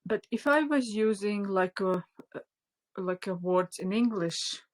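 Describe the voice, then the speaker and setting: A woman whose first language is Latvian, leading a live online spiritual class. She talks unscripted at a middle pitch, soft and halting, full of fillers and restarts, at times sinking to a near whisper. The sound comes from a phone mic. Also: expressive. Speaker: spiritual teacher.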